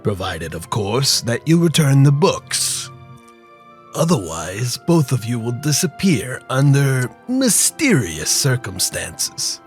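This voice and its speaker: A man playing a coldly threatening, businesslike crime boss in a noir radio drama, speaking formally and deliberately. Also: medium pitch, wildly swooping pitch, faint music behind. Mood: coolly menacing.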